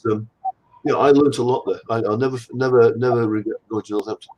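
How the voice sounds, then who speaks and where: An older man raised in England chats as an interview guest, his voice sitting in a middle register. He wanders from anecdote to anecdote with plenty of restarts, poking gentle fun at himself. It sounds like a video call. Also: medium pitch, fairly even intonation, quick pace, low-quality recording. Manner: rambling, anecdotal, self-deprecating. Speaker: guest anatomist. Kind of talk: casual conversational interview.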